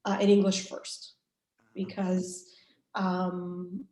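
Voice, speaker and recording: A woman's voice: on the low side, completely flat delivery, drawn-out words, a little mellow, echoey room, poor audio. A woman from Canada, a journalist answering interview questions candidly, with many restarts and fillers. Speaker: journalist guest.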